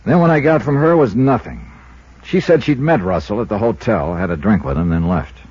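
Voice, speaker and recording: A muffled vintage radio recording with music behind carries a tough American insurance investigator. His voice is lowish and slightly rough, and he reports his case in a wry, clipped fashion, in the style of 1950s detective radio. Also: animated, fairly quick, a little mellow, no room sound. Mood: dry, matter-of-fact.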